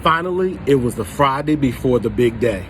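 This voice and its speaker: A man reading a picture book aloud to children, pausing to put questions to his young listeners. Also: mid-range voice, lively intonation, a bit bright, slight background noise. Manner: pauses to prompt young listeners. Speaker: storybook reader for children.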